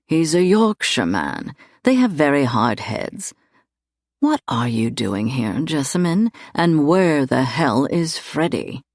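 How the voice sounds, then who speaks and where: Audiobook role: woman as arrogant gentleman rogue, deep register, unhurried, coolly teasing, close dry sound. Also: sing-song, no room sound, full character voice. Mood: brusque. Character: arrogant, flippant, sardonic gentleman thief, suave lord. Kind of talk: audiobook character dialogue.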